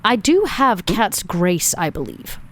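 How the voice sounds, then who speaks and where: A woman with a slightly low voice plays a tabletop roleplaying game. She casually and thoughtfully describes what her character does. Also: sing-song, takes it slowly.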